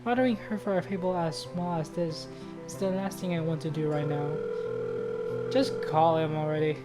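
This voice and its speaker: A woman's voice: sits low, steady tone, normal speed, loud music behind the voice. A woman streamer reading visual novel narration aloud, now and then stumbling and rereading phrases.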